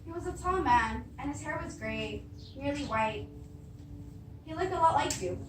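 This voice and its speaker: Reassuring yet anxious tragic queen, played by a young student actress, stage readers theatre, far from the mic over clear noise. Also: sits high, expressive, normal speed. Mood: matter-of-fact. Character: pleading, reassuring queen, anxious wife of the king. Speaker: student actor. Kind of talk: readers theatre stage acting of Greek tragedy. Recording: distant echoey room with clear background noise.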